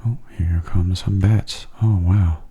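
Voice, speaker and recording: A man giving soft-spoken ASMR video game commentary in a breathy, hushed, often half-whispered voice, gentle and understated. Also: sits low, fairly even intonation, takes it slowly, highs cut off.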